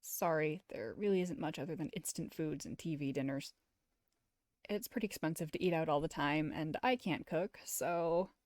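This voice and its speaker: A woman's voice: deep voice, moderate pace, darkish tone, no echo, clean studio sound, natural voice. A woman voicing a shy, awkward boy who is hesitant and earnest, in a visual novel. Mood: apologetic. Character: awkward high school boy, shy anime fan, apologetic. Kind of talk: visual novel dialogue read aloud.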